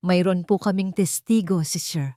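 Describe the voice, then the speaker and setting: A woman's voice: on the low side, normal speed, warm tone, no echo, clean studio sound, lightly acted. A woman with a Filipino accent voicing a cold, formal male police officer in a narrated story. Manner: formal, final.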